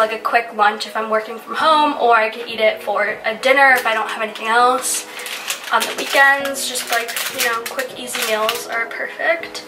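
A woman's voice: fairly high pitch, far from the mic. A young woman in her twenties, sounding stuffy from a recent illness, chatting casually through a grocery haul with lots of "like" fillers. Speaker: lifestyle vlogger. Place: home room, indoors. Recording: roomy, off-mic, with music. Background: background music.

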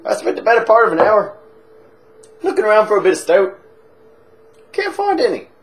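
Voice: huge pitch swings, normal speed. A performer playing a crude, cheerful Irish drinker with a put-on Irish accent, swaggering through a comic monologue. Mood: rowdy. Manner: crude, swaggering, jumps between high squeals and low mutters. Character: rowdy boozy Irish man, crude and cheerful.

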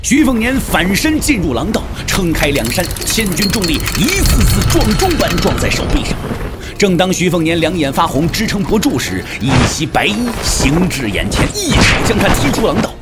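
Very animated male narrator. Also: sits high, moderate pace, over loud music. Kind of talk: dramatic scripted narration of a martial arts novel.

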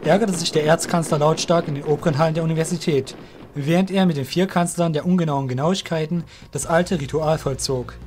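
A man's voice: fairly high pitch, a little fast, darkish tone. A man narrating a fantasy audio drama from a script, in a storytelling style.

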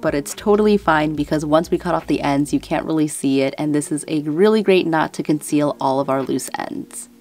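A woman's voice: low voice, darkish tone. A woman in her twenties with a Chicago accent, chatting casually as she explains a DIY craft tutorial step by step. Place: indoors, room with houseplants.

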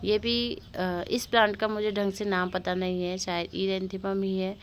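A woman's voice: mid-range voice, flat delivery, a little slow, clear, resonant tone. A woman giving a casual, chatty tour of her terrace garden, talking unhurriedly to her viewers with many fillers, filmed on a handheld phone outdoors. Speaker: gardening vlogger. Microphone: phone camera held while filming.

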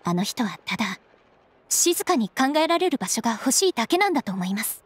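A woman playing a polite, earnest young female assistant in a Japanese game dub, with a soft, breathy voice and courteous speech. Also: on the high side, sing-song, a little fast, dry recording, heavily acted. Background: faint background music.

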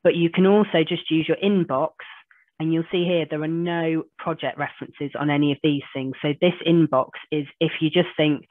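Woman, 40s, hoarse trainer on a video call; chatty, self-deprecating, dry thin line. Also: low voice, fairly even intonation, moderate pace, no echo. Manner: chatty, self-deprecating explainer walking through screen demos. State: hoarse with a cold. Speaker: business trainer. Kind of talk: online training webinar. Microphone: video call webcam. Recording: tinny phone-like call audio.

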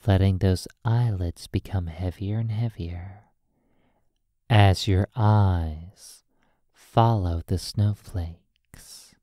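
Deep soft hypnotist asmr voice, super slow n soothing, long pauses, hushed. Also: no echo, studio quality. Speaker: hypnotist playing a sleep therapist.

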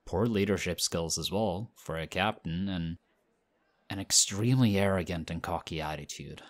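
A man's voice: low-pitched, moderate pace, natural voice. A man playing a modest young team captain. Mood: excited, friendly. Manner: relaxed, modest, chatty. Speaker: audio roleplay actor. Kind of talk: audio roleplay.

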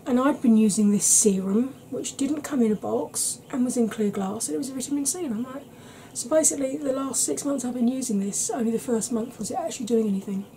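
A woman in her thirties chatting to camera at home in a soft ASMR style, rambling and often dropping into whispers. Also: a little high, brisk, hardly any pauses, a little hiss or hum, low-quality recording. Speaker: beauty vlogger. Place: small cluttered room at home.

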